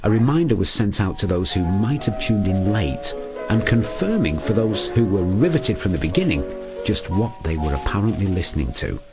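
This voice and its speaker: A man's voice: fairly low pitch, sing-song, normal speed, music in the background. A man delivering scripted documentary narration in a storytelling manner.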